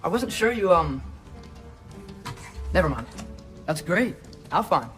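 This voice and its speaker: A man's voice: on the high side, very animated, slow, fairly bright tone, soft background music, full character voice. An actor playing an earnest, curious teenage boy in a video game, talking casually and a bit hesitantly. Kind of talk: cinematic video game acting.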